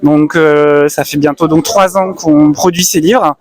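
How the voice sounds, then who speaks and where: A man's voice: a little high, fairly even intonation, darkish tone, dry recording. A man with a slightly raised voice talks through his craft at a convention stand, taking his time. He stops often to look for the right word, then picks the thread back up. It is an easygoing, unscripted exchange. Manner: thoughtful, many pauses and fillers. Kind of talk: casual interview chat. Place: busy convention hall.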